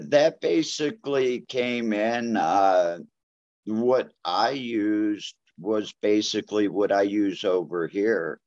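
Slow, blunt man on a dull recording. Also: on the low side, no echo. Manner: blunt, rambling with many fillers. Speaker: cannabis grower guest. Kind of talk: casual interview talk. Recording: dull lo-fi audio.

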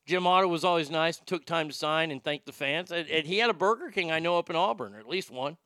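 A man's voice: on the high side, expressive, fast talker, bright, forceful tone, no room sound. A man in his fifties from Texas, a radio host telling profane, rambling stories in a casual monologue. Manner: profane, rambling storyteller with many restarts.

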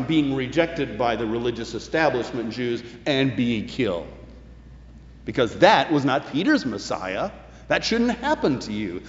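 A man preaching a sermon as a pastor, phrasing his points deliberately and emphatically with some colloquial turns. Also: medium pitch. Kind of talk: sermon with scripture commentary. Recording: echoey hall, long reverb, dull sound.

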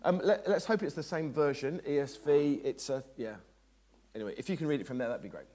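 A man's voice: fairly high pitch, a little fast, warm tone. A man preaching at a church service, chatty and joking with the congregation. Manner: chatty, self-deprecating, jokes with the congregation. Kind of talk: informal church sermon introduction.

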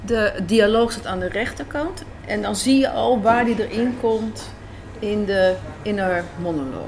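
A woman's voice: mid-range voice, expressive, measured pace, darkish tone. A woman from the Netherlands answering interview questions in a conversational, emphatic way. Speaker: guest, radio drama writer and director. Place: café, indoors. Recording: faint café background noise. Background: faint café ambience.

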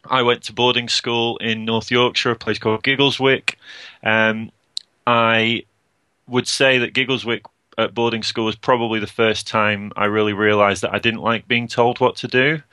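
A young man as a podcast guest, talking casually with many pauses, ums and restarts. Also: medium pitch, level tone, bright, forceful tone, smooth, no echo. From Scotland, raised in Portugal. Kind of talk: casual interview chat.

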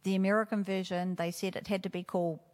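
A woman with a New Zealand accent chats in an interview about her writing. She rambles warmly, laughs easily and now and then loses her thought mid-sentence. Her voice carries a long reverb, as if she were in a large room. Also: medium pitch, flat delivery, fast talker. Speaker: author guest. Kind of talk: casual interview conversation.

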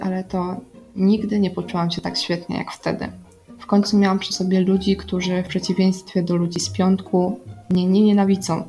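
Creaky-voiced young woman over background music. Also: lowish voice, warm tone. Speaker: radio segment host. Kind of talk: personal diary-style monologue to listeners.

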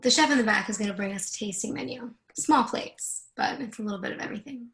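An actress reads a confident senator's wife in a screen drama. Her voice is fairly high with plenty of creak. She is blunt, teasing and jaded, and happy to swear. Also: quick pace, fairly bright tone. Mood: smooth hosting. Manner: assertive, teasing, profane. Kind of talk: dramatic screenplay table read.

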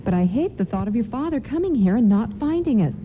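A woman's voice: on the high side, very animated, fast. A woman acting a courteous, hesitant, worried mother in a radio play. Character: polite, worried mother stranded with her children. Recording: lo-fi, faintly noisy radio broadcast.

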